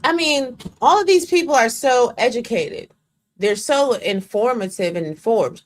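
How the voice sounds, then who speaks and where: A woman's voice: a little high, lively intonation, measured pace. A woman making her points with emphasis, drawing out her phrases and lecturing in a casual discussion.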